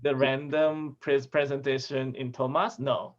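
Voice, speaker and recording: A man from Malaysia, a food sensory scientist, giving a relaxed talk over a video call with restarts and chuckles. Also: medium pitch, a little slow. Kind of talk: casual video-call presentation. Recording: dull lo-fi video call audio.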